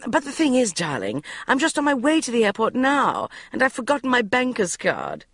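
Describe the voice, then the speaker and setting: Woman reader doing a gushing, hysterical mum; high, swooping, very dry close read. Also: wildly swooping pitch, normal speed, no room sound, exaggerated voice. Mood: wheedling. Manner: breathless gushing, wheedling. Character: middle-aged British mother, flighty, gushing.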